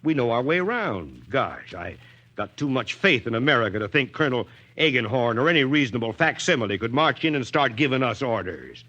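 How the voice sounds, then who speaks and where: An actor playing a stubborn, plain-spoken, folksy small-town mayor in a 1940s radio drama, stammering a little when pressed. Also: male voice, medium pitch, very animated, a little fast, sharp tone. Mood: earnest, heated. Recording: muffled 1940s radio recording.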